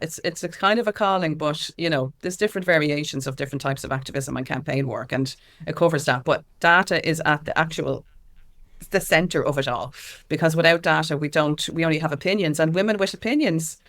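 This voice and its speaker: A woman's voice: low-pitched, brisk, fairly bright tone. An Irish woman giving candid, informal and emphatic answers as an interview guest, speaking as an activist. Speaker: guest, activist and music consultant.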